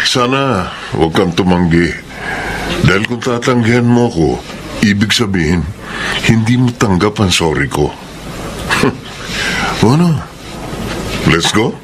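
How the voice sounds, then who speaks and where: Casting: actor as smooth-talking young male suitor, lowish pitch, slow coaxing delivery, romance drama, noisy background. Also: animated. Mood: charming, coaxing.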